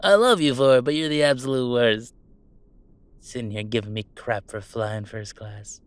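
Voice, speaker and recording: A man's voice: medium pitch, moderate pace, clean tone, lightly acted. A man voicing a playful, self-deprecating werewolf streamer in a one-sided roleplay audio drama, full of teasing banter and dramatic sarcasm. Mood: fond, teasing. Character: wisecracking young male werewolf streamer, affectionate and self-deprecating.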